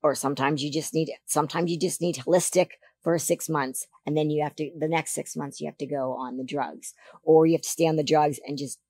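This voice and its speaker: Low-voiced woman giving emphatic advice. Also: steady tone, brisk, mellow, slightly rough, no echo. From Canada. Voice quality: deeper voice than she once had. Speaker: podcast guest, figure competitor. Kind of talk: casual interview chat. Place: studio set on a sofa. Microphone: boom mic on a stand.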